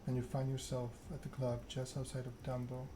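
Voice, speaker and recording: Guy doing asmr story narration, pretty even tone, not rushing, noticeable noise behind him. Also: mid-range voice. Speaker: video game streamer reading game text aloud.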